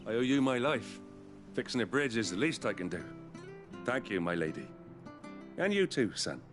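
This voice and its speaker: An actor playing a humble, chatty working-class carpenter with a British accent in a fantasy video game, folksy and a little flustered. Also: male voice, mid-range voice, big pitch swings, normal speed, music in the background, close to an everyday voice. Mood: earnest, friendly.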